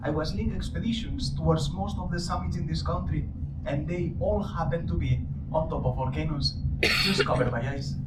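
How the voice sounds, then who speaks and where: A man's voice: fairly high pitch, a little fast. A man with a foreign accent guiding a live show, teasing and joking with his audience. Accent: non-native English accent. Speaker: lava show guide. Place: indoor presentation hall. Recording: distant, roomy, dull, noisy.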